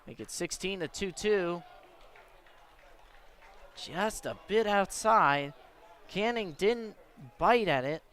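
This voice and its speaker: A man with an American accent and a notably high voice does live baseball play-by-play for radio. He describes each pitch in a measured way and lifts his delivery as the action builds. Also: animated, fairly bright tone, smooth. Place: at a baseball stadium.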